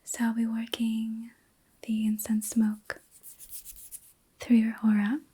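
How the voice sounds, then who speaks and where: Slow, breathy whispering woman. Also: on the high side, monotone. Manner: gentle, soothing, unhurried with pauses. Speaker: ASMR host and reiki practitioner. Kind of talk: whispered ASMR guided relaxation session.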